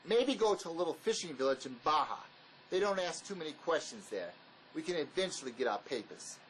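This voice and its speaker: A man's voice: a little high, sing-song, a little fast, fairly bright tone, rough recording. A man acts a pragmatic fugitive ex-convict in an audio drama, with widely swinging intonation. He is terse, bossy and dismissive. Faint noise sits behind the voice. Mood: persuasive.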